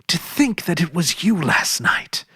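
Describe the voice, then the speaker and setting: A man voicing an overjoyed young girl, pitched up. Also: sing-song, measured pace, pushed and bright, husky, no echo, studio quality, full character voice. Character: young girl, joyful and emotional.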